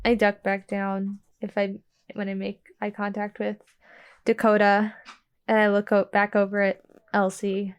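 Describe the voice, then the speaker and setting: A woman playing a tabletop game, casually describing her character's actions in an informal, halting way. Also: mid-range voice, monotone, clean tone, dull audio. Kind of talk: casual improvised roleplay narration. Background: music.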